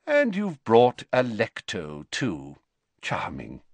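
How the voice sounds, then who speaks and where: A man voicing an elderly male wizard headmaster, speaking quietly and with measured courtesy. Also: on the low side, wildly swooping pitch, dry recording, full character voice. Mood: calm.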